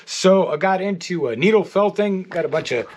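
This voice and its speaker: Middle-aged man, American accent, slightly high voice, casual rambling vlog style, halting, repeated words. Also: lively intonation, a little mellow. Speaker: artist and toy maker. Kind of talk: casual rambling video update. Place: art studio, indoors.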